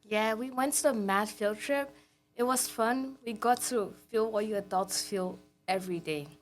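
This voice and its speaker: A woman's voice: mid-range voice, moderate pace. A teenage girl raised in Cameroon, a student guest answering in short, plain replies. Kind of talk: casual podcast chat.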